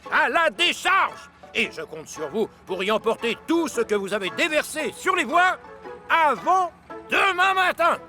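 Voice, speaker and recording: A man's voice: extremely high pitch, very animated, unhurried, bright, forceful tone, exaggerated voice. A man playing a stern, fussy railway authority in a children's cartoon, pompous and admonishing. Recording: music behind the voice.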